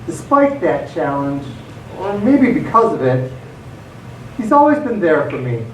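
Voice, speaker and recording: An actor playing a fond, reflective relative in a live radio drama monologue. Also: male voice, fairly high pitch, big pitch swings, a little slow. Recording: echoey hall, far from mic, faint noise.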